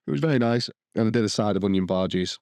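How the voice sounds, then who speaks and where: A man's voice: on the low side, fast, a little mellow, no echo. A man co-hosting a podcast, rambling and restarting sentences as he lists the dishes he cooked. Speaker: podcast co-host. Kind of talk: casual chat.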